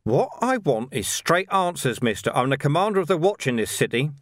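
Man voicing a gruff, indignant watch commander. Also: fairly high pitch, very animated, fairly quick, no echo, studio quality, voice pushed up. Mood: angry, insistent. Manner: blunt, indignant, demanding. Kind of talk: audiobook character dialogue.

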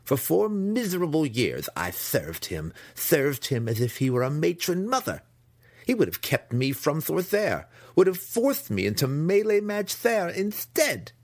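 A man voicing a bitter, vengeful young male dark elf who spits out words forcefully. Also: on the low side, wildly swooping pitch, a little slow, pitched above the performer's own voice, full character voice. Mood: bitter, spiteful. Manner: spiteful, spitting, forceful.

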